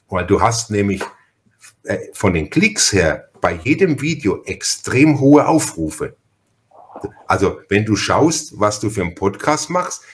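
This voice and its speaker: A man from Franconia with a Franconian accent, hosting a casual podcast interview and telling stories in a folksy, chatty, stop-and-start way. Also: fairly low pitch. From Franconia, Germany. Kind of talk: casual interview chat with storytelling.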